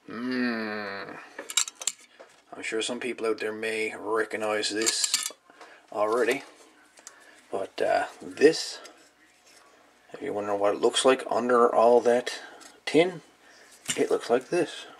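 A man giving a casual, folksy hands-on demonstration as a hobbyist, musing and pausing as he goes, recorded indoors at a workbench. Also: fairly low pitch, vocal fry. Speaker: hobbyist radio video maker. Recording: light background noise, clicks of handled parts.

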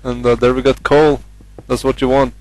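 A man's voice: medium pitch, level tone, normal speed, a little mellow, poor audio. A young man from Norway with a Norwegian accent, casually commentating his game with many hesitant fillers. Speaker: gamer.

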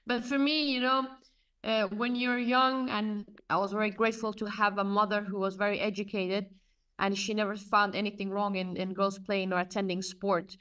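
A woman's voice: mid-range voice, little pitch movement, normal speed, fairly bright tone, clear, resonant tone, far from the mic. A woman in her thirties from Afghanistan, a guest answering on a video call from a room at home, telling her story earnestly. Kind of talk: conversational interview answers.

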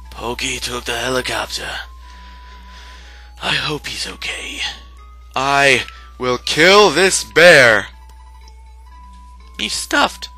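A man's voice: medium pitch, bright, forceful tone. A man giving casual, joking commentary over a video game, with a playful, silly manner. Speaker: gameplay commentator.

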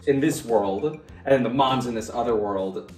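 A man's voice: mid-range voice, moderate pace, a little mellow. A young adult man chatting casually at a table at home, recorded by a camera across the table. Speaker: producer and actor. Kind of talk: casual chat. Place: home dining room, at a table. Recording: distant, echoey room, faint music.

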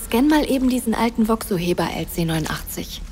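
A woman playing a resourceful young woman who talks quietly and often whispers to stay hidden. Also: a little high, animated, fast talker, a little hiss or hum, lightly acted. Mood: hushed. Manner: hushed, practical, often whispering.

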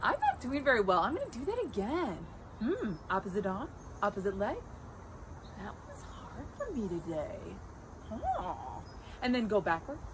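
A woman's voice: on the high side, huge pitch swings, normal speed, noisy background. A middle-aged woman giving a children's read-aloud and casual instruction, speaking to young listeners in simple, encouraging phrases. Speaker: teacher reading a picture book aloud to children.

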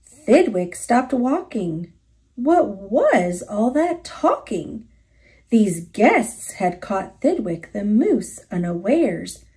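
A woman with an American accent narrating a rhyming children's story aloud, expressively and with deliberate enunciation. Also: mid-range voice, sing-song, mellow.

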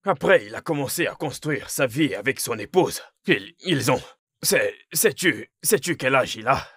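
A man's voice: mid-range voice, animated, moderate pace, fairly bright tone, husky, no echo, studio quality. A man in his thirties dubbing a friend who teases and lectures in a film. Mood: mocking. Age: 30s. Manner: teasing, lecturing. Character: mocking, lecturing young male friend. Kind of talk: French film dub.

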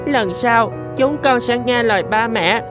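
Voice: mid-range voice, unhurried, lightly acted. Actor as childish little brother, eager, cartoon, over music. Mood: tearful, remorseful. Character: young boys, three brothers, eager and childish. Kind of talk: cartoon voice acting. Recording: music under the dialogue.

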